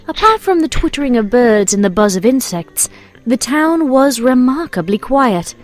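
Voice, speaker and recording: High-voiced English woman narrating a story. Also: sing-song, moderate pace. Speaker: fan fiction reader and narrator. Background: music.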